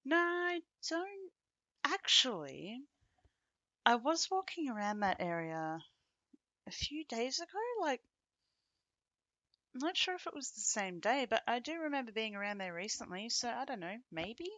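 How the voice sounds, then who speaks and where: A woman acting an affectionate, teasing companion in an intimate ASMR roleplay, speaking casually and conversationally with pauses. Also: a little high, very animated, bright, forceful tone, no echo, lo-fi sound, exaggerated voice. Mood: skeptical, amused.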